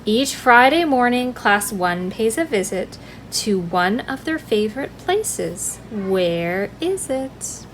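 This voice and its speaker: High, animated woman reading to children. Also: slow pace, slight background noise, poor audio. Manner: friendly sing-song address to small children. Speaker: children's library storytime host. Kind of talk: expressive read-aloud of a rhyming picture book for young children.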